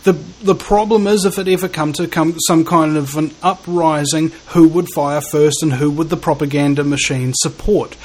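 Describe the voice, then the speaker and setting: A man's voice: on the high side, steady tone, moderate pace, hardly any pauses, a little mellow. New Zealand man, musing host. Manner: musing, searching for words. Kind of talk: casual conversation.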